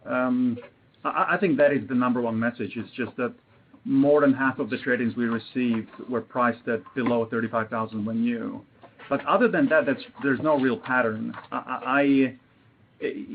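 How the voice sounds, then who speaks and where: A man answering as a company executive on a business call, unscripted and a little hesitant, repeating opening words. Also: mid-range voice. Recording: phone-like conference line with clear noise.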